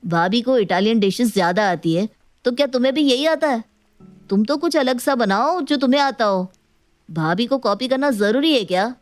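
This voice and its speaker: A woman's voice: a little high, moderate pace, no room sound, faint music behind, natural voice. A woman playing an irritated young husband who grumbles at his wife in a story. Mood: annoyed. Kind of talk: story dialogue.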